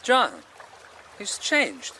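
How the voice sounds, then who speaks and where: An actor playing an earnest, tender-hearted gold prospector full of wonder, in expressive radio drama style with short phrases. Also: male voice, sits high, extremely slow, slight background noise, exaggerated voice. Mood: tender, awed.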